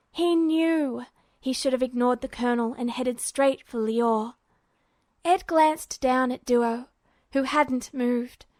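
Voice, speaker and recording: A woman's voice: sits high, a little mellow, no room sound. A woman reading a scripted story aloud as narrator.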